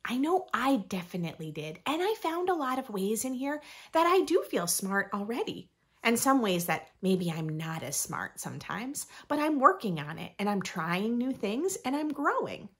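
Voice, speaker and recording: A woman in her thirties reading aloud expressively for young children, with playful emphasis and deliberate phrasing. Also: a little high, sing-song, fairly bright tone, husky. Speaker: host of a children's read-aloud channel. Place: small furnished room indoors.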